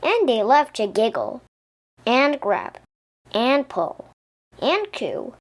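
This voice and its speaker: A woman reading a children's story aloud as an expressive narrator. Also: on the high side, slow. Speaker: storybook reader.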